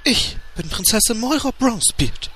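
A man's voice: very high-pitched, sing-song, normal speed, pushed and bright, a little hiss or hum, voice pushed up, full character voice. A man voicing a lovestruck, boastful dwarf princess in a comedy audio drama, in an affected voice. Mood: proud, dreamy. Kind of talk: German audio drama parody.

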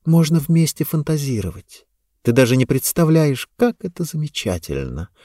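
Man reading as a pleading young woman, animated intonation. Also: a little high, moderate pace, dry recording, studio quality. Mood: dreamy, eager. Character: young woman of twenty, sheltered, pleading, dreamy sweetheart.

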